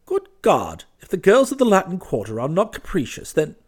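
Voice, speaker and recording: A man voicing a flustered, lovesick young gentleman, pitched up. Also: very animated, normal speed. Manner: protesting, hesitant, emphatic. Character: smitten, flustered young gentleman, flattering and easily wounded.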